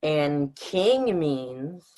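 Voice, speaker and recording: A young man acting as guest helper, reading out words and prompts in a measured way during a lesson. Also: a little high, sing-song, dark tone.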